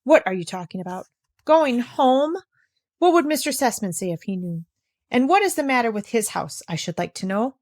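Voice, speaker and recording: A haughty, exasperated housekeeper lady from a children's storybook, acted out by a woman reader. She scolds in scandalized exclamations, her pitch pushed high. The recording is dry and close. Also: sing-song, normal speed, darkish tone, dry recording. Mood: exasperated scolding. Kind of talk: children's storybook character reading.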